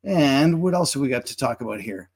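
A man's voice: mid-range voice, lively intonation, fairly quick, darkish tone, husky. A man hosting a live webinar over a video call, chatty and promotional, with many filler words as he introduces a guest. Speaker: webinar host.

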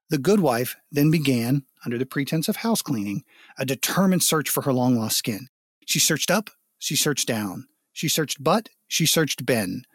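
A man's voice: mid-range voice, husky, dry recording. Man telling a folk tale, very dry sound. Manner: dramatic, with explanatory asides. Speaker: storyteller. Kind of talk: expressive scripted folk tale narration.

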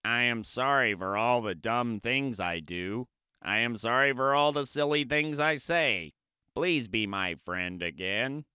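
A man voicing an anxious, devoted male toad, speaking low, soft and fretful. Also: lively intonation, measured pace, smooth, no echo, clean studio sound. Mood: pleading.

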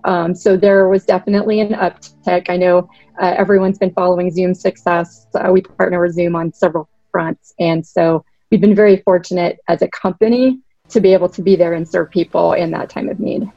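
A woman from Boston in her thirties, a podcast guest giving articulate, measured explanations of her work. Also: fairly low pitch, fairly even intonation, warm tone, no room sound, dull audio, soft background music. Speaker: guest, product director. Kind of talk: podcast interview.

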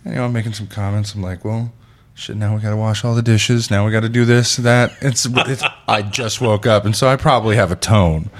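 A man's voice: lowish voice, steady tone, fairly quick. A man in his thirties hosting a casual chat, telling stories animatedly, acting out quotes and swearing freely. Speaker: podcast host.

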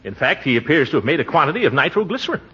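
An actor playing a pompous, flustered small-town uncle and official in a 1940s radio comedy, blustering and exclaiming with theatrical hems. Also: male voice, fairly high pitch, sing-song, very fast, pushed and bright, breathy edge, exaggerated voice. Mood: worried, sheepish. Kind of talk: 1940s radio sitcom acting. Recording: muffled, noisy 1940s radio recording.

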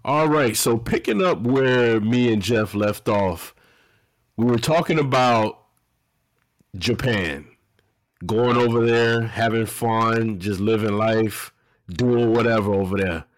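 A man's voice: fairly low pitch, slow, no room sound. A man in his late thirties hosting a podcast over a video call, laid-back and slangy, laughing often. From Florida. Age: 30s. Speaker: podcast host. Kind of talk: casual podcast chat.

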